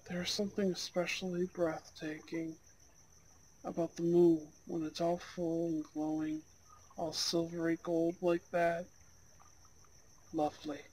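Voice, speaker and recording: A performer acting as a charming young Goth gentleman in an ASMR date roleplay. He talks very slowly and softly at a middling pitch, with courteous, slightly old-fashioned phrasing and a gentle manner toward an unheard listener. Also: a little hiss or hum, lightly acted, low-quality recording. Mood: admiring, inviting.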